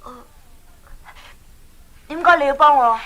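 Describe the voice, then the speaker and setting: An earnest young adventurer from a children's radio play, with a Hong Kong Cantonese accent. The voice sits high and rings out brightly, brave and boyish. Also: female voice, measured pace. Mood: puzzled, curious. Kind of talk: 1980s Cantonese children's audio drama.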